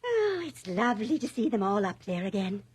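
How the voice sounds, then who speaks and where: A woman's voice: mid-range voice, very animated, moderate pace, darkish tone, slightly rough. An actress playing a sweet, chatty, forgetful elderly village lady in a radio drama, rambling cheerfully. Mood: wistful, cheerful. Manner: rambling, fluttery, cheerful hostess.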